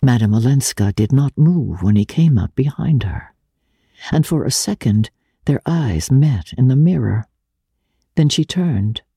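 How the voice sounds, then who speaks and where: A woman narrating classic literature as an audiobook reader, in a measured, expressive and theatrical manner. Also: extremely low pitch, slightly rough, dry recording, clean studio sound.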